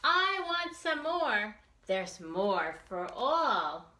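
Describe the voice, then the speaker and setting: A woman leading a children's storytime as a librarian, reading aloud in an expressive, deliberate, sing-song way. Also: fairly high pitch. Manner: slow, deliberate, expressive delivery with repetition. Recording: roomy, echoey sound.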